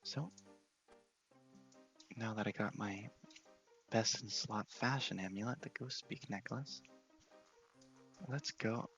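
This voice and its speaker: A man gives casual, hushed ASMR game commentary, often slipping close to a whisper. His voice is creaky and middling in pitch, a little muffled, with music behind it. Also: slow pace, long pauses. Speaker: host of a gaming ASMR series.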